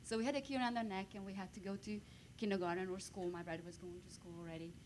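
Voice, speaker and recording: Fast-talking woman with a Hungarian accent. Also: mid-range voice, a little hiss or hum. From Romania. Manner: lively storytelling, bursts of emphasis. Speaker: guest speaker. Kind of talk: personal testimony on stage.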